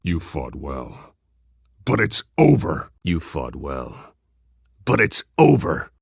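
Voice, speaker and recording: An actor playing a grim masked vigilante in a video game, terse and intimidating with a gravelly, husky voice. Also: male voice, rumbling low, wildly swooping pitch, slow pace, no room sound, studio quality, exaggerated voice. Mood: menacing calm. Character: grim masked male vigilante, menacing and controlled.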